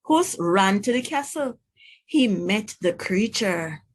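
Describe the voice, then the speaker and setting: A woman teacher narrating a children's story aloud in a slow, clear storytelling manner. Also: mid-range voice, animated.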